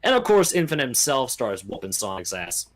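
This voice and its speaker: A man chatting casually and giving animated, rambling, opinionated takes with mimicked quotes. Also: mid-range voice, fairly quick. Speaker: podcast guest or panelist. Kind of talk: casual opinionated chat about video games.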